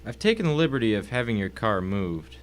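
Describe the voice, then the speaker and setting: Cultured, menacing older-world gentleman villain acted by a man, lowish voice, courteous and cold, audio story, faint hum behind. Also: very animated, brisk. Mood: courteously menacing. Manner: urbane, formal, mock-courteous. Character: calm, wealthy, menacing husband, cultured villain. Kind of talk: dramatic audio reading of a short story.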